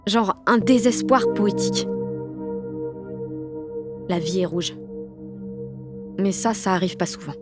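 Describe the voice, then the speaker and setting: A woman's voice: medium pitch, slow, pauses often, over music, close to an everyday voice. An actress playing a rebellious, emotional sixteen-year-old girl who narrates an audio drama in blunt, sarcastic slang. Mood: melancholy. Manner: slangy, blunt teenage delivery. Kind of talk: first-person audio fiction narration.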